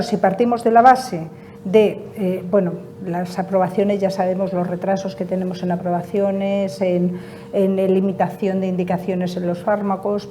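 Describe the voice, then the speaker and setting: Woman from Spain, patient advocate on a panel; mellow tone, emphatic, faint background noise. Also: mid-range voice. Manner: emphatic, repeats key points. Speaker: patient association representative. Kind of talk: panel interview answers.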